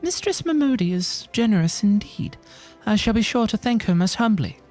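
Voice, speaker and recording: A man's voice: high-pitched, very animated, moderate pace, darkish tone, soft background music, pitched above the performer's own voice. A man voicing a gracious, courteous refugee noblewoman from a fantasy game, with formal, archaic phrasing. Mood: humble, earnest. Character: refined, gracious, courteous woman leading refugees.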